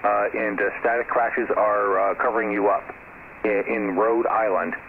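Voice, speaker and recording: An American man working as an amateur radio operator, making halting, polite, deliberate contact calls over shortwave and spelling out callsigns. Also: on the low side, level tone. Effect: shortwave radio transmission. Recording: narrow tinny radio audio, loud static hiss.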